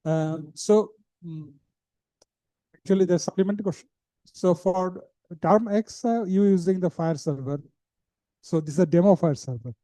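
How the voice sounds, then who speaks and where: Bangladeshi guy asking conference question, really soft dark tone, slightly high, halting. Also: dry recording, poor audio. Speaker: audience questioner.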